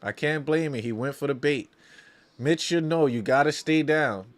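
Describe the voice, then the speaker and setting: A man's voice: on the high side, normal speed. A man hosting a watch-along, calling basketball live as a partisan fan in slangy talk, often repeating phrases for emphasis.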